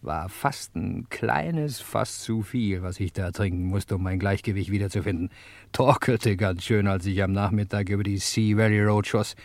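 An actor playing a cocky, tipsy private detective in a wry, swaggering 1950s radio crime play style. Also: male voice, lowish voice, normal speed, darkish tone, no room sound, natural voice. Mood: wry, boastful. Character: tipsy hard-boiled male private detective, cocky and jaunty. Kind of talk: 1950s German radio crime play, first-person narration.

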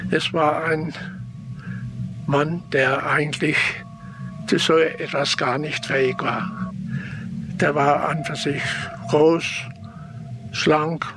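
A man giving an interview recollection, careful and halting, repeating himself as he goes. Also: on the high side, flat delivery, over music. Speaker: interviewed witness. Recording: noisy location interview.

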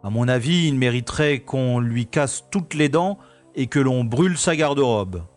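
A man playing a cocky, excitable special agent in a science-fiction audio drama, theatrical, easily outraged and flustered. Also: mid-range voice, moderate pace, faint music behind, natural voice. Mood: indignant, wry. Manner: theatrical, indignant exclamations, flustered hesitations.

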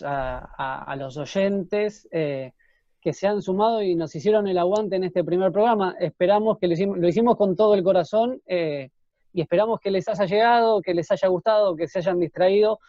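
A man's voice: sits high, moderate pace, warm tone. A man with a Rioplatense Argentine accent co-hosting a live show, speaking casually with effusive thanks. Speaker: radio show co-host. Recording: muffled, echoey room sound.

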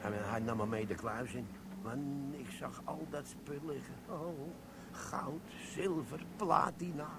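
A man's voice: mid-range voice, takes it slowly, creaky, reverberant, background noise. A man playing a sly old army sergeant. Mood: scheming. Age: 60s. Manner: sly, stammering, chuckling. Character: older army sergeant, sly, boastful schemer. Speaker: actor in radio play. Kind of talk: radio play acting.

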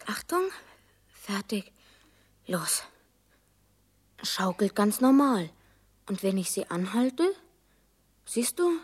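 A performer playing a bold, inquisitive young boy in a children's radio play, eager and insistent, with a husky voice. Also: mid-range voice.

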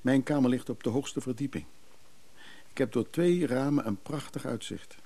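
Actor as a husky, gravelly, wistful middle-aged man. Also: mid-range voice, moderate pace, dark tone, natural voice. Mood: wistful, reflective. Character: weary, wistful bereaved traveling businessman. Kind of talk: naturalistic Dutch radio drama acting.

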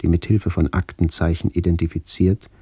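Older man remembering, very low pitch, gravelly, measured literary radio play narration, reflective. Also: flat delivery, mellow, no echo. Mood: somber, remembering. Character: older man recalling his village childhood during the expulsion.

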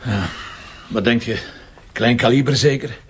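A man's voice: mid-range voice, unhurried, a little hiss or hum, natural voice. A man playing a wry Flemish police commissioner. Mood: investigating. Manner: dry, sardonic remarks. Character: blunt, wry middle-aged male police commissioner. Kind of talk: TV crime drama acting. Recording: dull lo-fi TV soundtrack.

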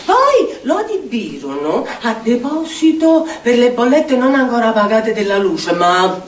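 An actress playing an eccentric, earthy Neapolitan storyteller in a declamatory theatrical monologue, with a strong Neapolitan accent. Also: female voice, on the high side, very animated, a little slow. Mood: wry. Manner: declamatory, dramatic, sing-song repetitions. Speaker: stage actress. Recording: echoey theatre stage, distant mic, faint noise.

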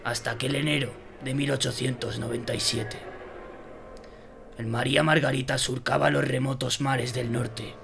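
An actor playing a haunted sea captain who tells his ghost story in a brooding, deliberate, suspenseful way for an audio drama. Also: medium pitch, level tone, gravelly, noisy background, close to an everyday voice. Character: haunted old sea captain recounting a ghost ship tale. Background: prominent music.

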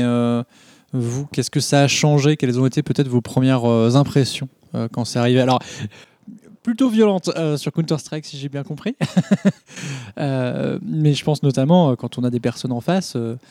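Halting male moderator, mellow voice. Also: mid-range voice, no room sound. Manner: halting, searching for words. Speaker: host, moderator. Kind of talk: moderating a panel.

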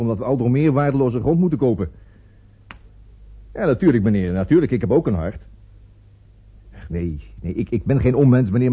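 An actor playing a grasping, sly estate agent in a radio play, hedging with slick one-sided telephone patter. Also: male voice, fairly low pitch, breathy edge. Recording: dull, muffled old radio recording.

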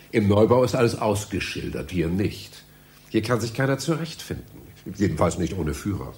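An actor playing a polite but exasperated library visitor in a satirical radio play, dry and apologetic in manner. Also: male voice, on the low side, darkish tone, a little hiss or hum.

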